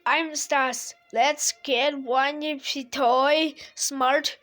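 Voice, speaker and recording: A woman vlogger giving a casual toy demo in short, simple phrases of halting English. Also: high voice, fairly even intonation, fairly bright tone, soft background music.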